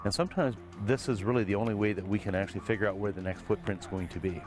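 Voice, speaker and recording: A man's voice: on the low side, quick pace. A man giving a practical explanation of a technique as an instructor would. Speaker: search and rescue tracker. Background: music.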